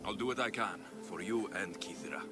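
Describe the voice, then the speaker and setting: Actor as low-voiced, determined mercenary. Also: male voice, brisk, lightly acted. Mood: businesslike. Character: determined male mercenary seeking vengeance, blunt and steady. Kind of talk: scripted video game dialogue. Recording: loud music behind the voice.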